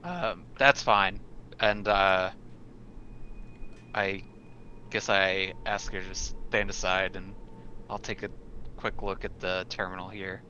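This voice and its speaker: Man, tabletop game player, unhurried hesitant chat, mid-pitched, muffled voice-chat sound, slight noise. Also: steady tone, pushed and bright. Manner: tentative, thinking aloud. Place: indoors at a computer, online game session. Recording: dull lo-fi voice chat, background noise.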